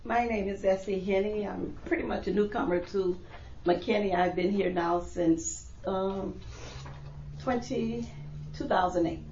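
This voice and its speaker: Gravelly middle-aged woman, echoey room. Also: medium pitch, measured pace, darkish tone, slight background noise. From New Orleans. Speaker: commission applicant. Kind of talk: self-introduction at a public meeting. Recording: echoey room, dull lo-fi sound.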